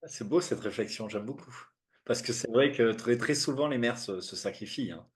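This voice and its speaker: A man hosting an interview, asking questions in a relaxed, hesitant way with frequent fillers. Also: medium pitch, normal speed. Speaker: interview host. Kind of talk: conversational interviewing.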